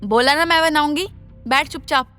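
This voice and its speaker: A woman's voice: sits high, moderate pace, sharp tone, soft background music. A woman voicing a cruel, scheming older mother-in-law in a moral story, bossy and sarcastic as she scolds. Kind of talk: Hindi moral story voice acting.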